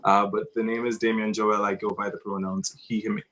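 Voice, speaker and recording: Jamaican guy talking about his theatre piece, low voice, pretty flat, halting and earnest. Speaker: theatre director. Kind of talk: reflective artist talk.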